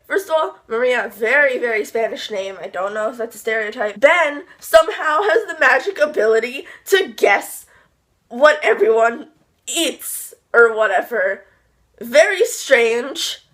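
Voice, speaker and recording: A woman's voice: on the high side, fairly bright tone. A young woman talking in a casual book review vlog, chatty and gushing, rambling with frequent asides.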